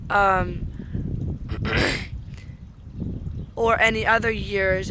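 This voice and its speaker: A man who is losing his voice and getting sick, making an earnest, repetitive spoken appeal and often clearing his throat. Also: high-pitched, noisy background. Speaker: vlogger making a public appeal. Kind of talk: unscripted appeal to camera.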